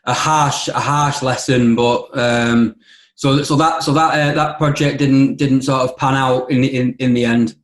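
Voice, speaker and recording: A man's voice: medium pitch, fairly even intonation, unhurried. A male podcast host with a mid-range voice asks questions politely, stumbling through false starts and ums. The recording has a noticeably echoey room around him. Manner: hesitant, lots of ums and restarts, polite. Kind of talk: conversational interview.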